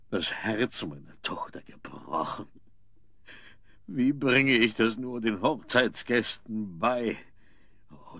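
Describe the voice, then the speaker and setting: An actor playing an indignant, pompous, middle-aged wealthy father in a German audio drama, blustering theatrically and haltingly. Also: medium pitch, pushed and bright, no echo, studio quality, exaggerated voice. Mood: outraged.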